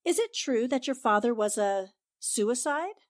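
An actress playing a shrewd newspaper reporter in a 1940s-style radio drama, questioning in a composed, pointed way. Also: female voice, a little high, animated, unhurried. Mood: probing. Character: shrewd, probing female newspaper reporter Anne Rogers.

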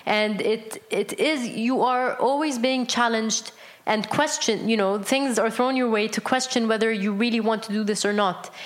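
A woman's voice: a little high, normal speed. A Palestinian woman, a business founder, answering interview questions earnestly, with many fillers and restarts.

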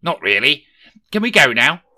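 A man voicing a pompous, money-obsessed male politician with a shrill, whiny manner. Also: sing-song, normal speed, pushed and bright, a little hiss or hum. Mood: excitable, whiny.

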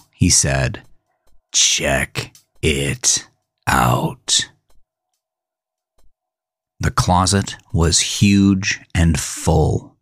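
Slow, low-voiced man narrating horror. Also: gravelly, no echo. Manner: measured, deliberate, brooding storytelling. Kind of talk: scripted horror thriller narration. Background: music.

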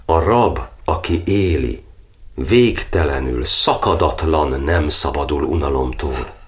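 An actor playing an old, suffering cardinal in an audio drama, declaiming a brooding verse monologue in an anguished way. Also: male voice, rumbling low, animated. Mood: sombre, remembering. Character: imprisoned Hungarian cardinal, old, suffering, brooding over his martyrdom.

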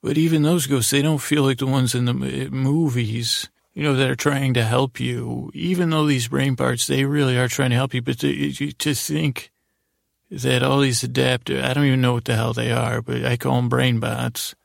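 Male podcast host for a rambling bedtime monologue: deeply creaky, dry close sound, halting delivery that keeps doubling back on itself. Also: steady tone, dry recording. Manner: meandering, halting, frequent self-interruption.

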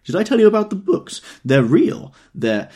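A man voicing a stout, drunk middle-aged man who talks excitedly and insistently. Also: sits high, normal speed, slightly rough, voice pushed up, heavily acted.

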